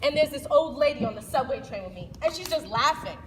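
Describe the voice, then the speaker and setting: A woman acting an excitable, streetwise young city girl in live theater, with animated, slangy, dramatic delivery. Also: high voice, fast. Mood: excited storytelling. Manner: animated, slangy, breathless dramatic build-ups. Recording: noisy echoey hall, distant mic.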